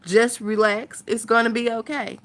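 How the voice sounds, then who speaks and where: A woman's voice: a little high, flat delivery, normal speed. A woman chatting casually to her viewers as a vlogger, thinking aloud in a rambling way.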